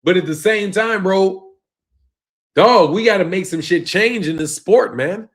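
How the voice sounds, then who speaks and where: A man, hosting a boxing channel live stream and talking loosely and emphatically, dropping low at the ends of phrases. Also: a little slow. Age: 50s. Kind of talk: casual live-stream rant reading chat.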